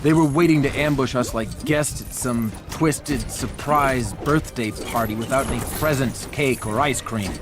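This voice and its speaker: An actor playing a young, self-serious vigilante archer and island survivor, narrating a video game with dramatic, wry storytelling. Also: male voice, medium pitch, measured pace, hardly any pauses, loud music behind the voice, natural voice. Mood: wry, grave.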